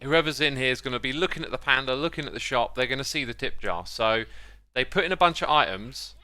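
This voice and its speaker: A man's voice: medium pitch, fast, bright, forceful tone, no room sound. A man hosting a gaming video, chatting casually and explaining a build step by step. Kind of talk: casual chat while playing and explaining a game build.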